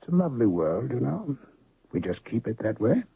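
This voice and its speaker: An actor playing an honest, weary gambler who is a doctor, in an old radio drama, speaking calmly and drily with a gravelly voice. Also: male voice, mid-range voice, fairly quick, dry recording, heavily acted. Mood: dying. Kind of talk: 1970s radio drama acting. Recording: tinny lo-fi old radio broadcast.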